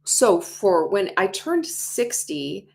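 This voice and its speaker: A woman in her sixties hosting a motivational talk show, animated, acting out quoted inner voices and dropping to whispers. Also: medium pitch, slow pace, creaky. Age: 60s. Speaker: host.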